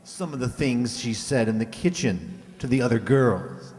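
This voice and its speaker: An actor playing a polite, gossipy hotel employee in a radio play, talking in a measured, informative way. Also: male voice, mid-range voice, lively intonation, a little mellow, close to an everyday voice. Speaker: amateur radio drama actor. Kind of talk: old-time radio play dialogue. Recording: echoey hall, long reverb.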